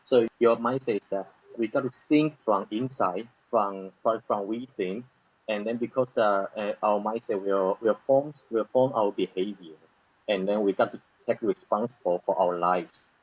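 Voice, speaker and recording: A man's voice: lowish voice, normal speed, a little mellow, husky, poor audio. A man from Taiwan interviewing a guest, giving frequent short affirmations and summarizing her answers. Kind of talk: interview hosting.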